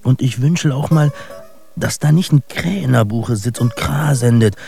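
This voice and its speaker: An actor playing a brooding young immigrant man who muses poetically in street slang, in a radio drama monologue with halting, deliberate delivery. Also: mid-range voice, vocal fry, dark tone, faint music behind, close to an everyday voice. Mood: wistful.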